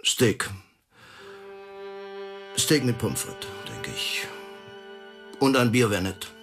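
An actor playing a polite, resigned male prisoner on death row, subdued and halting, in a German radio play. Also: lowish voice, sing-song, music in the background, full character voice.

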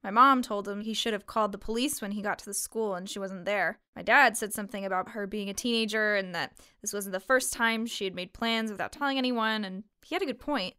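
A woman acts out a young waitress in a fiction podcast who keeps her guard up over her grief. She talks plainly and haltingly at a medium pitch, weary and a little defensive, in a very dry recording. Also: sharp tone, clean tone, no room sound, natural voice. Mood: subdued, explaining. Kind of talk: fiction podcast drama acting.

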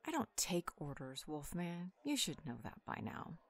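A woman's voice: fairly low pitch, very animated, moderate pace. An actress playing a sassy, flirtatious part-wolf woman with a menacing edge, sardonic and playful, in an audio roleplay.

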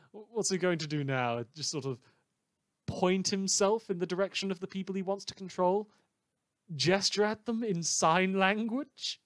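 A man playing a morbidly amused radio host in a fan audio drama, rambling hesitantly with dark, wry mock concern. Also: mid-range voice, no echo, close to an everyday voice. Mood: musing, sardonic.

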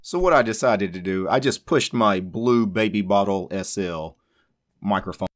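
A man's voice: on the low side, animated, moderate pace. A man talking casually and unhurriedly, recorded about a foot from the microphone, so his voice sounds thin. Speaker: tutorial host in an audio sample.

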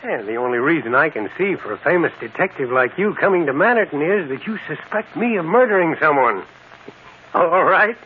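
An actor playing a jovial, teasing older uncle in a vintage radio drama. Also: male voice, fairly high pitch, expressive, moderate pace, close to an everyday voice. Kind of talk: 1940s radio acting. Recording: very noisy, tinny old radio broadcast.

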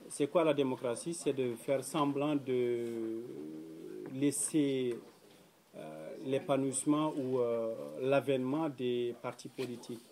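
A young man from Mauritania speaks at a round table, his voice mellow and dark in tone. He talks earnestly and carefully, with frequent hesitations and fillers. He is recorded in a small indoor room by a camera set a few feet away. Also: mid-range voice, fairly even intonation, slow. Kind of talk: public talk at a round table.

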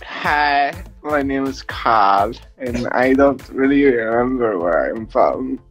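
A man voicing a forgetful eleven-year-old boy who talks in a slow, unsure, halting way over a video call. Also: mid-range voice, lively intonation, faint music behind.

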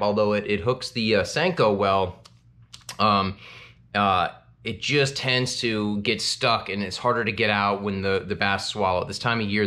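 A man in his thirties hosting a fishing channel, chatting casually to camera from a small home office, with lots of ums and restarts. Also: on the low side. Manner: relaxed, rambling, with frequent ums and restarts. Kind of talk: casual gear talk to camera.

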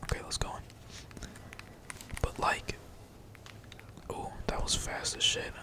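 A man whispering casual, slangy and sweary ASMR gaming commentary in a breathy, playful mutter, as a streamer talking to viewers. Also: slow pace. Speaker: gaming streamer, channel host.

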